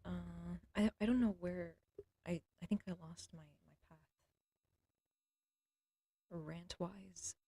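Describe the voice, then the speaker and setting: A young woman talks straight into her streaming mic from home, wandering off track and interrupting herself. Her voice is a little throaty, and she clears it often. The sound is close and dry, with no room echo. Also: on the low side, fairly even intonation, slow, pauses often, dark tone. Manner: rambling, self-interrupting, blunt and crude. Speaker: livestreamer. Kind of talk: casual rambling monologue. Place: at home with a cat.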